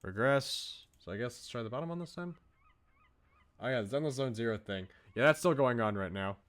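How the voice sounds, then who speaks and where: A man's voice: medium pitch, animated, fairly quick, a bit bright. A man chatting casually as he streams a game. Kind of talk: casual livestream chat while playing a visual novel. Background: game music.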